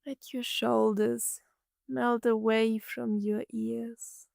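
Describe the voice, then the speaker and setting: A woman narrating a scripted guided meditation, gently soothing, speaking in short phrases with long pauses. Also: on the high side, flat delivery, creaky, no room sound, studio quality.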